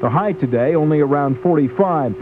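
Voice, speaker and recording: High-voiced weatherman on tinny radio. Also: a little fast. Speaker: weather forecaster. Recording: tinny AM radio with clear hum.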